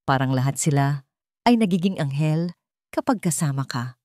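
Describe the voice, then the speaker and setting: Woman voicing a sincere Filipino male suitor, lowish voice. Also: lively intonation, normal speed, warm tone, no echo, clean studio sound. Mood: admiring. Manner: sincere, admiring. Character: sincere, admiring young billionaire suitor, male.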